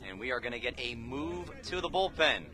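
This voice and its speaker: A man's voice: medium pitch, lively intonation, fairly quick, pushed and bright. A man calling live baseball play-by-play on the radio in clipped, descriptive phrases from an outdoor ballpark. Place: outdoor ballpark, beside the field.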